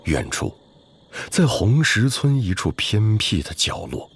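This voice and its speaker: Deep-voiced dramatic male story narrator. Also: sing-song, slow pace, slight background noise.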